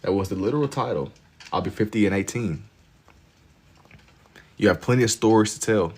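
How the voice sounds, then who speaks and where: A man in his twenties hosting a livestream, chatting casually with viewers in laid-back slang and getting loud and laughing when teased. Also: fairly low pitch, normal speed, husky. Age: 20s. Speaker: livestream host. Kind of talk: casual chat with viewers while drawing.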